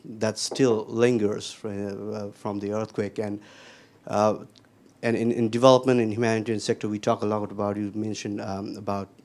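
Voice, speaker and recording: A young man from Nepal with a Nepali accent, asking an unscripted question at a panel discussion in a halting way, often repeating words. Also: fairly low pitch, flat delivery, darkish tone. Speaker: audience member, PhD student.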